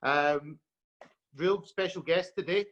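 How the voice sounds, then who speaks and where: A man from Scotland hosting an interview over a call, friendly and unhurried, with many fillers. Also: a little high, a bit bright, lo-fi sound. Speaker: host.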